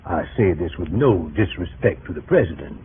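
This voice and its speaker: A smooth political flatterer in an old radio drama. His deepish voice moves expressively as he insinuates and defers. The recording is tinny and lightly hissy. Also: normal speed. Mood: ingratiating. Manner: ingratiating, hesitant, insinuating. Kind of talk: 1930s-40s radio drama acting.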